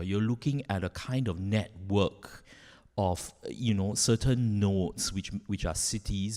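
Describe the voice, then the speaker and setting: Panelist from Singapore, man, low voice, thoughtful, recorded in echoey hall. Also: a little mellow. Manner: thoughtful, frequent you know. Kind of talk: panel discussion.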